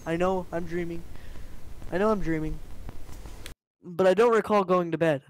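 A man's voice: sits high, steady tone, normal speed, a little hiss or hum, lightly acted, rough recording. A man reading as a bewildered young woman.

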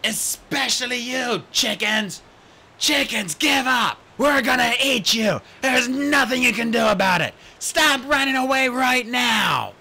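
A man voicing a big earnest male dragon who bellows slow, forceful orders. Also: very high voice, expressive, creaky, voice pushed up, exaggerated voice. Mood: bellowing.

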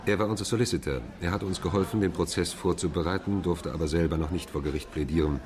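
Radio drama barrister-narrator, male actor, deep voice, sober and measured delivery. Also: a crowd in the background, lightly acted. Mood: tense, sober. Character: Irwin, measured young English barrister narrating his case. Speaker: narrator and character.

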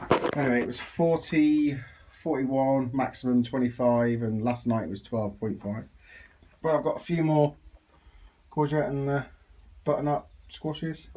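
A man's voice: mid-range voice, a little mellow. A man giving a casual, chatty off-the-cuff video tour as an amateur gardening vlogger, outdoors on a handheld camera. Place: outdoors on an allotment. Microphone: handheld camera or phone. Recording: clearly noisy outdoor handheld recording.

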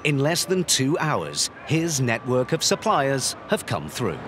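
Man narrating a documentary with lively pitch. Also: measured pace, background noise. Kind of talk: scripted narration. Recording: music under the voice. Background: background music.

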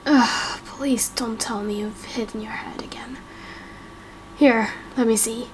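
A mild, weary dad, as a woman plays him, soft and gently scolding. The delivery is slow, an amateur game roleplay with some noise behind it. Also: fairly high pitch, fairly even intonation, voice pushed down, rough recording. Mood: weary, caring. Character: mild, exasperated father fussing over his child.

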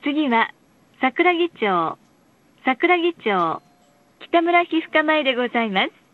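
High-pitched woman making muffled bus announcements. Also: big pitch swings, a little hiss or hum. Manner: polite, formal, measured enunciation. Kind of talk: scripted bus stop announcements and ad reads. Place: recorded announcement played inside a bus. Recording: muffled, lo-fi bus speaker recording.

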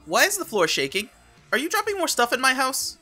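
Woman voicing a bright, animated young boy. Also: high voice, brisk, faint music behind, exaggerated voice. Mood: excited, curious. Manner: animated, casual, exclamatory. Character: excitable, exasperated young boy chatting online. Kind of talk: web comic voice dub.

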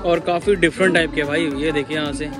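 A man's voice: fairly high pitch, fairly even intonation, brisk. A man with a North Indian accent giving a casual, repetitive walk-through shopping commentary as a vlogger, addressing viewers like buddies. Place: large busy supermarket, indoors. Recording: background music over store vlog audio. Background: music.

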